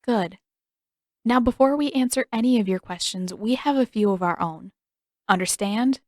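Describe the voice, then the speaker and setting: A commanding young woman warrior in an audiobook, played by a female reader. She is curt and stern, interrogating with authority, slightly raised in pitch and closely recorded. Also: moderate pace, darkish tone, no echo, clean studio sound, natural voice.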